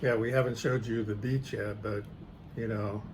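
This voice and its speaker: A man's voice: lowish voice, fairly even intonation, normal speed, slight background noise. A middle-aged man with an American accent, chatting casually in brief, laid-back remarks. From California, United States. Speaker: guest, retired executive. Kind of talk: casual chat.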